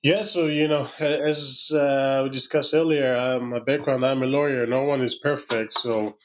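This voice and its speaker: A middle-aged man with an Israeli accent, a startup founder answering podcast questions in halting English full of "you know" fillers. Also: medium pitch, steady tone, a little hiss or hum. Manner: halting, many "you know" fillers, non-native grammar.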